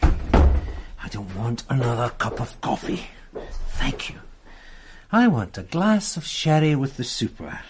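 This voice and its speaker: A man's voice: medium pitch, sing-song, takes it slowly, long pauses, slight background noise. An actor playing a tired, good-natured male detective sergeant in a radio drama, talking in an easygoing, matter-of-fact way.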